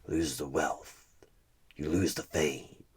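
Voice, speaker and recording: A man playing a sinister, smug devil of a recruiter in a horror ASMR roleplay, coaxing and condescending, with a gravelly voice that often slips toward a whisper. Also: extremely low pitch, fairly even intonation, a little mellow, exaggerated voice. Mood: coaxing, menacing. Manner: slow, deliberate, coaxing, condescending. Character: sinister devilish male recruiter, smug soul-buying tempter. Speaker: roleplay performer playing a job interviewer. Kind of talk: one-sided horror ASMR audio roleplay.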